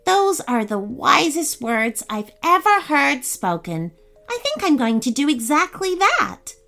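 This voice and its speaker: A woman voicing a kind, curious little female pickle in a storybook reading, speaking gently and politely. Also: sits high, sing-song, measured pace, creaky, soft background music, pitched above the performer's own voice, heavily acted. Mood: delighted.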